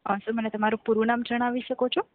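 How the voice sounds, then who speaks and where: A woman's voice: a little high, little pitch movement, fast. A woman speaking as a customer care agent, formal and courteous, often checking that the caller agrees. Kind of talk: scripted, polite customer service phone talk. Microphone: telephone call. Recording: tinny phone line.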